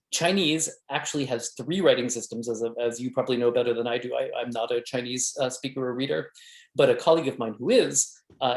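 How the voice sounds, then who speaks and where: A man answering questions after an academic talk, speaking in a halting way with many restarts and fillers. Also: medium pitch, a little mellow, echoey room. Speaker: invited speaker.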